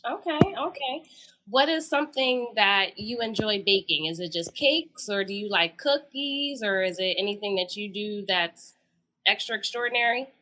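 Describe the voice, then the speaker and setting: A woman's voice: mid-range voice, normal speed, sharp tone, slight background noise. A woman hosting a podcast who introduces her guest and asks questions in an upbeat, encouraging way. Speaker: podcast host. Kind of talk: casual interview.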